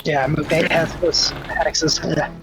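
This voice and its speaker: Guy player in a tabletop game, fairly high flat voice, music going. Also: a little slow, creaky. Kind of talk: casual game chat.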